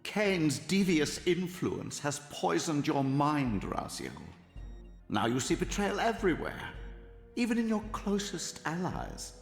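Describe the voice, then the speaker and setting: A man's voice: a little high, sing-song, takes it slowly, creaky, full character voice. An actor playing a cunning, manipulative old sorcerer in a video game, imploring and grandiose in his formal speech. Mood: accusing. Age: older. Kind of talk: dramatic video game cutscene acting. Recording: echoey hall reverb with music behind.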